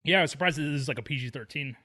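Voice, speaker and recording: A man's voice: a little high, very fast, sharp tone. A man co-hosting a film podcast in casual, jokey, opinionated conversation. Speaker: podcast co-host.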